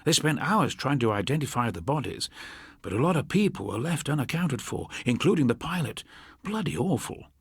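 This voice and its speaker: Male narrator as British police constable, raised lively pitch, husky, hesitant, grimly chatty, audiobook story. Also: dry recording, clean studio sound, heavily acted. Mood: grim recounting. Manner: halting, blunt.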